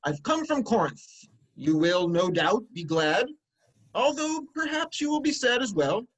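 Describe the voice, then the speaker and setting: Eager old messenger, lively high voice. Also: male voice, measured pace, heavily acted, low-quality recording. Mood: eager, courteous. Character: eager old messenger from Corinth, humble and cheery. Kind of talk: dramatic reading of Greek tragedy.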